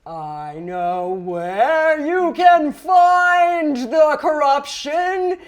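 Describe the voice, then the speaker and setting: Lady doing the earth talking, super slow n loud, drawn out, solemn, goofy tabletop game vibe. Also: high voice, lively intonation, pitched above the performer's own voice, exaggerated voice. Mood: booming, solemn. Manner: drawn-out, ceremonious delivery. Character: booming voice of the earth, reciting slowly, comically solemn.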